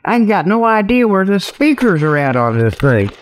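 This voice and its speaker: Rambling, folksy man with a highish voice. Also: big pitch swings, moderate pace, a little hiss or hum. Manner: folksy, joking, easily sidetracked. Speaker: host of a comedic report show. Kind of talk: rambling casual humorous monologue. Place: outdoors, sitting by a river. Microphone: tablet's built-in mic, resting on leg.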